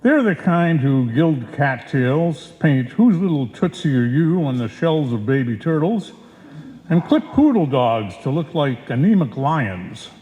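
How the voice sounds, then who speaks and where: Man as animated old-time radio announcer, echoey hall. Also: a little high, unhurried. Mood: genial, presentational. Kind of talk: 1940s radio announcing, scripted. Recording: muffled live stage recording, echoey hall.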